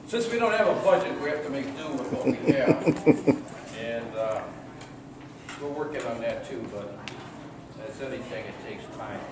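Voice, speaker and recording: A man's voice: medium pitch, expressive, moderate pace, long pauses, recorded from a distance, over a noisy crowd. An older man hosting an amateur radio play, chatting informally and in a rambling way to an audience. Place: large echoey room before a live audience. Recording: very echoey noisy hall, off mic.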